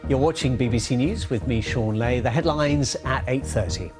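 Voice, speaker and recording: Man, British accent, formal newsreader, measured headlines, mid-pitched, clear music bed. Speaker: news presenter.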